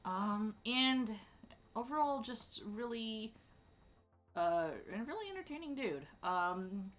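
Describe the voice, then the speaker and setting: A woman chatting casually as she ranks characters, rambling with lots of fillers and restarts and sudden bursts of excitement. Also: a little high, takes it slowly. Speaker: streamer ranking show characters.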